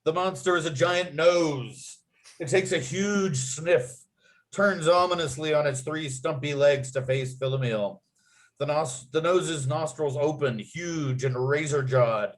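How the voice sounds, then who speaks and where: Male script narrator, higher-pitched, punchy read of stage directions and scene headings. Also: unhurried. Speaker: writer narrating a table read.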